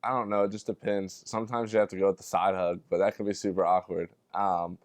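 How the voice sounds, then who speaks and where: Young man, deep resonant voice, casual interview talk, dry recording. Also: level tone, fast, no echo. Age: young adult. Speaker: college basketball player.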